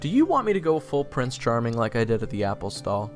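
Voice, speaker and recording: A man's voice: mid-range voice, huge pitch swings, quick pace, faint music behind. A man playing an earnest, easily exasperated young swordsman in a fantasy audio roleplay. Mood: flustered.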